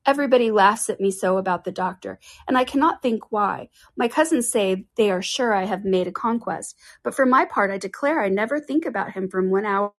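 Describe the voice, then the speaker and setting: A woman's voice: mid-range voice, brisk, few pauses, natural voice. A woman reading aloud, voicing a silly, vain young woman who simpers with affected earnestness. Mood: simpering.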